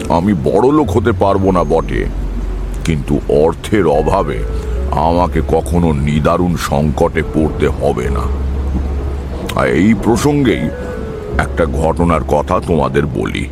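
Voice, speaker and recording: A man's voice: extremely low pitch, animated, heavily acted. A man playing an amused, mysterious middle-aged tantric who tells his tales in a knowing way in an audio drama. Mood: reminiscing, earnest. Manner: unhurried, knowing, chuckling raconteur. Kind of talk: dramatised audio story acting. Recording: music under the voice.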